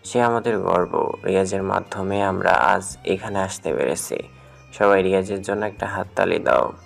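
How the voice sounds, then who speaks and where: A deep, heavily creaking voice, a man acting an older male office manager in an audio drama. He is avuncular, respectful and earnest, with soft music underneath. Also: moderate pace, exaggerated voice. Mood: proud, formal.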